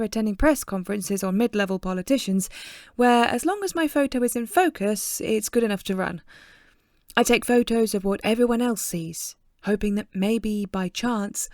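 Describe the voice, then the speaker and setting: A wry woman photojournalist played by an actress narrates her own life in an audio drama. She is confessional and self-deprecating, with a medium-pitched voice in a very dry, intimate recording. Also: darkish tone, dry recording, natural voice.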